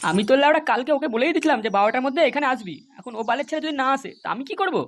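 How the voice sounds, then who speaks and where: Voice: sits high, lively intonation, rapid-fire, slight background noise. A performer voicing a crude, mocking young village man in a comedy cartoon, scolding and taunting as he talks. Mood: irritated.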